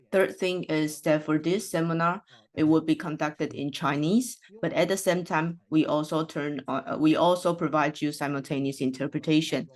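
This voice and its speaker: An interpreter voicing a host's welcome remarks and housekeeping notes, hesitating often with fillers and restarts. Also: medium pitch.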